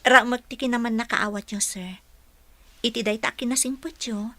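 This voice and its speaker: An actress playing a gentle, hesitant young working woman being courted in an Ilocano radio drama, polite and earnest, with an Ilocano accent. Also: a little high, steady tone, normal speed, dry recording, close to an everyday voice. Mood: apologetic, firm.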